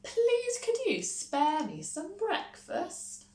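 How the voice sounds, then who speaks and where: A woman's voice: extremely high pitch, wildly swooping pitch, takes it slowly, darkish tone, recorded from a distance, voice pushed up, exaggerated voice. A woman voicing a poor, hungry young boy in a storybook, eager, earnest and exclaiming. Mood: pleading. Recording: roomy echo, faint background noise.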